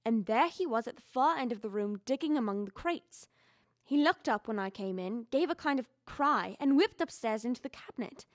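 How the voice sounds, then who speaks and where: A woman's voice: on the high side, expressive, moderate pace, no room sound, studio quality, close to an everyday voice. A young woman playing a loyal household servant in an audio drama, earnest, deferential and agitated. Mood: alarmed. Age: young adult. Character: frightened, insistent loyal female household servant.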